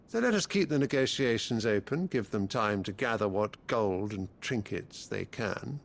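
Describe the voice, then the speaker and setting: Imperious emperor, middle-aged, heavy vocal fry, measured regal delivery, cold and callous, fantasy game cutscene. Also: male voice, on the low side, a little hiss or hum.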